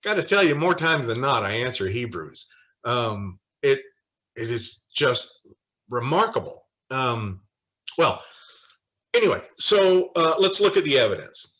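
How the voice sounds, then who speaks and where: A man teaches a Bible study in a bright, forceful voice with very lively pitch. He lectures informally from notes, leaning hard on key phrases and slipping in wry asides. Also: measured pace, rough recording. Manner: emphatic, animated, with wry asides. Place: online video call study session.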